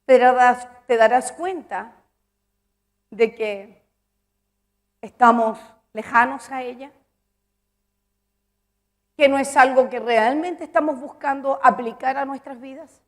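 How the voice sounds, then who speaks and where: A woman's voice: high-pitched, steady tone, slow, pauses often. A woman preaching a sermon. She speaks with emphasis, repeats her phrases and puts questions to the congregation.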